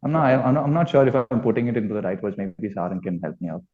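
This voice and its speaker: A man's voice: on the low side, expressive, very fast. A man with an Indian accent answering interview questions as a band musician, reflective and rambling as he searches for his words. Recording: dull, lo-fi audio.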